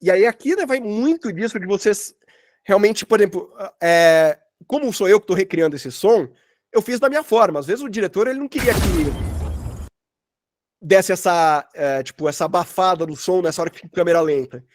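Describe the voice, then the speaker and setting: High-voiced Brazilian man, casual workshop talk. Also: expressive, moderate pace, dry recording, studio quality. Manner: casual, rambling, slangy. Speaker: sound designer teaching a workshop. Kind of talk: informal online workshop talk, explaining work examples. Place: at home, online session.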